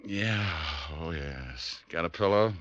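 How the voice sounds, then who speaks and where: An actor playing a wisecracking private detective who also narrates, in a 1950s radio drama. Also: male voice, sits low, lively intonation, slow pace, a bit bright, no room sound, exaggerated voice. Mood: relaxed. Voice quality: wry, sardonic. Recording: dull old radio recording with music.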